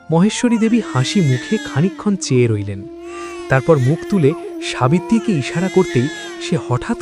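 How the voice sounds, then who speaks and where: A man narrating a scripted horror story in a dramatic style. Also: medium pitch. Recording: loud background music. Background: prominent dramatic music.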